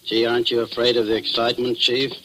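An actor playing a wisecracking small-town assistant police chief, slangy and cocky, in 1940s radio drama style. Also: male voice, medium pitch, monotone, fast, lightly acted. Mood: teasing. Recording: faintly noisy old radio recording.

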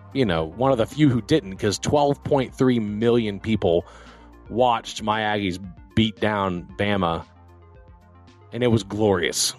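An American man hosting a show with casual sports banter, talking emphatically and repeating his phrases for effect. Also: on the low side. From Louisiana and Texas, United States. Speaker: talk show host.

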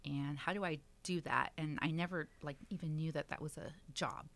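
Woman in her 30s, low voice, from texas, chatty guest, laughs a lot. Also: steady tone, moderate pace, vocal fry, a bit bright. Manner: chatty, laughs often, many fillers. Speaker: community center director. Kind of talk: casual interview chat.

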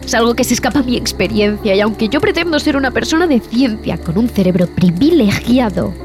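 An actress playing a frightened, repulsed expedition zoologist, narrating a horror audio drama in a deliberate, theatrical way with dramatic pauses. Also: female voice, fairly high pitch, animated, natural voice. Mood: agitated, defiant. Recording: long reverb, music behind.

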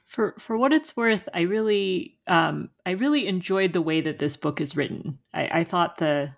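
Earnest woman interviewer, lower voice. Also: normal speed, vocal fry. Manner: earnest, repeats words and "you know". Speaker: academic interviewer. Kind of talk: academic interview.

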